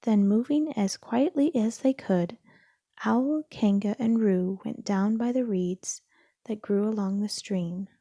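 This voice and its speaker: A woman narrating a children's storybook aloud in a soft, gentle storytelling manner. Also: mid-range voice, slow, no room sound, studio quality.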